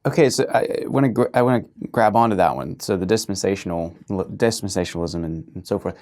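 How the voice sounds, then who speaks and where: A man's voice: low voice, fast, darkish tone. A man hosting a podcast interview, giving short encouraging backchannels and asking follow-up questions. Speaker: podcast host. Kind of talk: casual interview talk.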